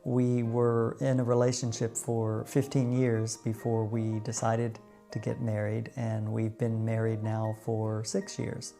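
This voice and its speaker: A middle-aged man with an American accent tells his personal story to viewers in a measured, reflective way with deliberate pauses. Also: on the low side, completely flat delivery, vocal fry, dark tone, faint music behind. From United States. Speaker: vlogger.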